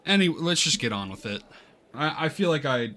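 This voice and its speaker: A man hosting a gaming video, giving casual let's-play commentary in a rambling, halting way and often interrupting himself. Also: a little high, very animated, pushed and bright. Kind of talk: casual let's-play commentary, reading game text aloud.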